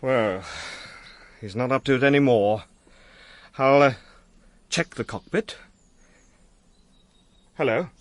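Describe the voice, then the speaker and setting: A man's voice: medium pitch, takes it slowly, pauses often, no room sound, lightly acted. A man playing a cautious, courteous English colonel with polite, hesitant understatement in a radio drama. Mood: understated.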